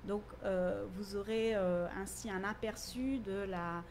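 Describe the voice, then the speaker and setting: A woman's voice: mid-range voice, fairly even intonation, takes it slowly, darkish tone. Woman teacher explaining stuff in an interview, articulate, bit of background noise. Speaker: university teacher.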